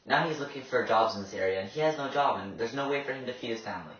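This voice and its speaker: A man's voice: mid-range voice, expressive, fast, a bit bright, close to an everyday voice. A man playing a downhearted ordinary man of the 1930s in a radio drama interview. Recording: echoey, distant, dull recording.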